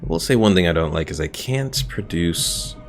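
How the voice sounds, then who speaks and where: A man's voice: sits low, animated, normal speed, soft background music. A man streaming a strategy game, chatting casually and self-deprecatingly about his battles and answering his chat. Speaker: gaming streamer. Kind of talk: casual gameplay commentary.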